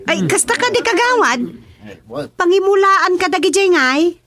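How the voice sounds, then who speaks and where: Eager, high-pitched young villager. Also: female voice, animated, normal speed, a crowd in the background. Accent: Filipino, Ilocano. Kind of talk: radio comedy drama acting.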